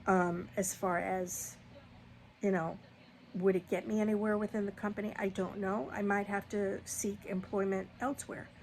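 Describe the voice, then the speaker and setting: A woman's voice: medium pitch, level tone, moderate pace, a little mellow, a little hiss or hum. A woman in her sixties talking candidly and casually to her viewers, rambling with fillers and restarts. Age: 60s. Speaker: vlogger.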